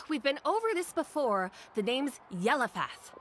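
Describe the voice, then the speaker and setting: An actress playing a grudging, businesslike shopkeeper in a video game, wry and matter-of-fact. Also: female voice, high-pitched, very animated, a little slow, a bit bright, a little hiss or hum, exaggerated voice. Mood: resigned. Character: grudging, businesslike female shopkeeper selling camping gear.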